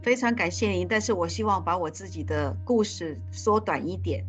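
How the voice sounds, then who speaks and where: Live interpreter: a woman with a fairly low voice who hesitates and restarts often, with music in the background. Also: a little fast.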